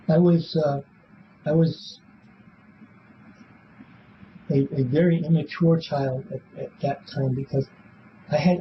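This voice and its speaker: Elderly male interviewee, seventies, mid-pitched, rambling and halting with repeated words; muffled, noisy room tape. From born in Belfast, Northern Ireland; raised in Illinois from age seven. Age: 70s. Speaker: interviewee in a police polygraph interview. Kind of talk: casual, rambling spoken answers. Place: small police interview room.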